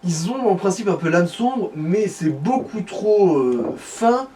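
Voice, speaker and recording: A man's voice: sits high, lively intonation, takes it slowly, mellow. A man giving casual commentary as the second voice on a video game let's play.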